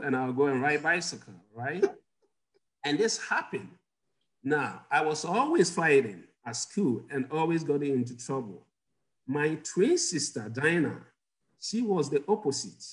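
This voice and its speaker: A man's voice: on the high side, lively intonation, moderate pace. A middle-aged man from Ghana, a guest doctor telling personal stories in an interview over a video call from a home office. He chuckles often and puts questions to his audience.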